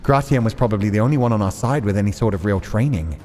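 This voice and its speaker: A man reading a visual novel aloud as an expressive, dramatic narrator, acting out the characters. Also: fairly low pitch, fast, in a large hall, soft background music.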